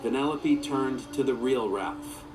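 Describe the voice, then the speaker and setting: A man's voice: mid-range voice, a little slow. Man narrating a storybook over music. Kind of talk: children's story read-aloud.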